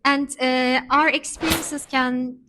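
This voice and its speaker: Woman, slightly high voice. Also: steady tone, takes it slowly, noisy background. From Turkey. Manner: explanatory, many hesitation fillers. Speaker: co-host. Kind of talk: casual chat.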